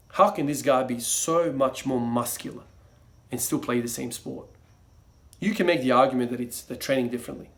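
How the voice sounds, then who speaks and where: A young man, talking to camera about his views. He rambles loosely, with plenty of fillers, false starts and trailing asides. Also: medium pitch. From Croatia. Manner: rambling, many fillers and restarts. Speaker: fitness vlogger. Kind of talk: casual monologue to camera.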